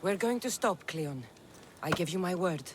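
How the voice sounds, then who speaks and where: A woman's voice: fairly low pitch, lively intonation, moderate pace, noisy background, close to an everyday voice. A woman playing a tough, determined Greek mercenary in dramatic video game dialogue, firm and resolute.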